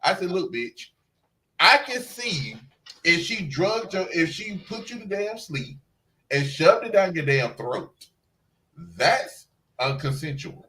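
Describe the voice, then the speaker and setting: Sassy, animated, higher-voiced man. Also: slow, poor audio. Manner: emphatic, sassy, salty-tongued. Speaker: review show co-host. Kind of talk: casual review chat.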